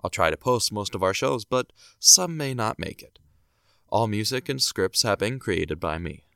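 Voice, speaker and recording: A man's voice: on the low side, expressive, normal speed, vocal fry, pushed and bright, clean tone, no room sound, clean studio sound. A man hosting and narrating a scripted show in the manner of 1930s and 40s radio. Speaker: show creator, host and narrator.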